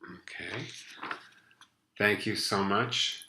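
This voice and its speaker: A man's voice: fairly low pitch, fairly even intonation, extremely slow, creaky, sharp tone, echoey room, slight background noise, poor audio. A man hosting his own live talk show, chatty and gushing with enthusiasm. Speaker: host.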